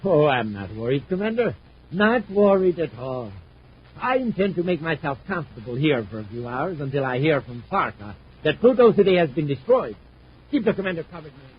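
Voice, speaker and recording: A man's voice: on the high side, big pitch swings, a little fast, slightly rough. A man playing an arrogant, scheming space prince with pompous, theatrical menace in a vintage radio serial. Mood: smug. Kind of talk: 1950s radio serial acting. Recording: muffled old radio recording, loud noise.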